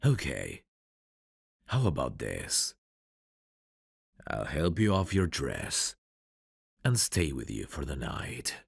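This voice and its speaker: Guy voicing a gentle flirty mafia husband for asmr romance, gravelly and husky, super close dry sound, lilting delivery. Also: a bass voice, huge pitch swings, slow, long pauses, no echo, full character voice. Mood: seductive, teasing. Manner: soft-spoken, gentle, flirtatious. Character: courteous, tender mafia bridegroom in arranged marriage. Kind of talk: intimate ASMR romance roleplay acting.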